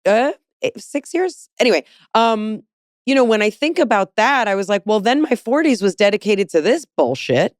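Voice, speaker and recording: A woman's voice: medium pitch, no echo, studio quality. A woman in her forties hosting an interview, talking candidly and rambling with many fillers. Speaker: podcast host. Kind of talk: casual interview chat.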